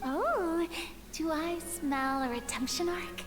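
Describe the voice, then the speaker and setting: Excitable high-voiced girl adventurer. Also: slow, over music. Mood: indignant suspicion. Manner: animated, theatrical, eager. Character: excitable, inquisitive young girl adventurer in a game. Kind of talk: video game voice acting.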